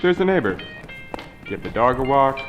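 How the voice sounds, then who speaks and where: A man's voice: mid-range voice, very animated, moderate pace, lo-fi sound, over music, natural voice. A man playing a character's mundane, mildly grumbling inner thoughts in a radio play. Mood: preoccupied. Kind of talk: radio play interior monologue.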